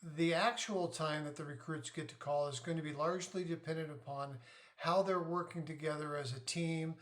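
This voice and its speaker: Higher-pitched middle-aged man explaining things. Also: fairly even intonation, fairly bright tone. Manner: deliberate, methodical, poses questions then answers them. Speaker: host, navy parent giving advice. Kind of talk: informal explainer talk.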